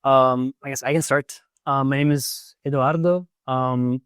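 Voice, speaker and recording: A man's voice: mid-range voice, steady tone, unhurried, fairly bright tone, clean tone, dry recording, clean studio sound. A young man from Portugal, a native Portuguese speaker, introducing himself as a researcher guest. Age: young adult. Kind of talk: self-introduction.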